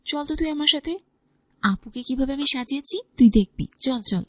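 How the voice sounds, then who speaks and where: A woman voicing a warm, caring young woman who coaxes her friend affectionately. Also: sits high, lively intonation, moderate pace, no room sound, clean studio sound. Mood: coaxing. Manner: affectionate, pleading.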